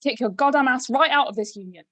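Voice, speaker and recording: A woman plays a commanding fairy boss in a comedy script read. Her voice sits high, and she barks and scolds with fierce, bossy authority. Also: fast, a bit bright, slight background noise. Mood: angry, scolding. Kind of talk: scripted screenplay table read.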